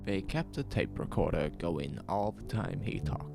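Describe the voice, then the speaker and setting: Slow, gravelly man narrating horror over music. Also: low voice, expressive, a little mellow. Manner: measured, suspenseful, short dramatic phrases. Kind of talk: dramatic scripted horror story narration.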